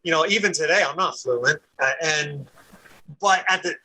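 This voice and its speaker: Male guest in his thirties, high and very bright voice, loose rambling storyteller. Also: normal speed, slight background noise, low-quality recording. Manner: rambling, repeats words, laughs easily. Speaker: podcast guest. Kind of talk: casual conversation.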